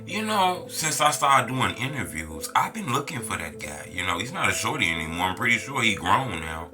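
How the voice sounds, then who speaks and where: A man's voice: on the low side, big pitch swings, moderate pace. An American man hosting a street-culture interview channel, speaking in casual, conversational slang. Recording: music behind the voice.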